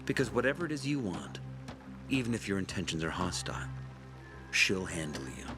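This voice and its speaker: An actor playing a shrewd, pompous leader of a survivor community in a video game, speaking in a deliberate, preacherly way. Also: male voice, sits low, big pitch swings. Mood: calm menace. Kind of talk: dramatic video game acting. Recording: background music under the voice.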